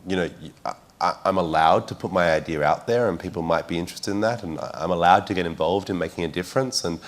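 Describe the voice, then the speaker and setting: Panelist, male, very low voice, quick delivery, restarts mid-thought. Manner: thoughtful, repeats words while building a point. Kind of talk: panel discussion.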